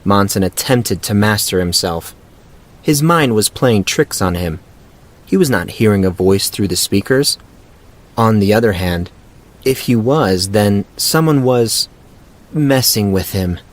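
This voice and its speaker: Lower-pitched man narrating fiction.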